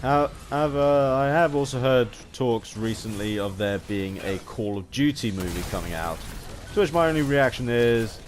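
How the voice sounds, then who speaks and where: A British man giving a rambling video game commentary, haltingly, often repeating and rephrasing his phrases as he goes. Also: mid-range voice, expressive, a little hiss or hum.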